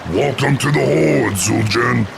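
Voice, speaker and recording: A man playing a proud, fierce orc war leader in a fantasy game, with gravelly, formal, declamatory delivery. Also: mid-range voice, a little slow, noisy background. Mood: proud welcome. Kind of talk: fantasy game cutscene acting. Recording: loud music under the voice.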